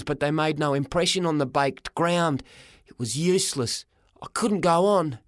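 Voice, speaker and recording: A man narrating a first-person story for an audiobook, dramatic and expressive, in short measured phrases. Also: fairly high pitch, dry recording, studio quality.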